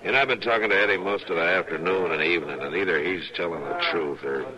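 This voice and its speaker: An actor playing a patient, plainspoken frontier marshal with calm authority in an old radio western. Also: male voice, low voice, a crowd in the background, lightly acted. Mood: thoughtful. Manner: calm, measured, folksy authority. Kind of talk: 1950s radio western acting. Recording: muffled old radio recording.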